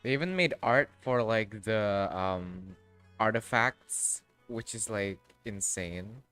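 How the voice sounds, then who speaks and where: A man giving casual, halting livestream commentary on a game, rambling and often trailing off mid-thought. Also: on the low side, a bit bright, smooth. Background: background music.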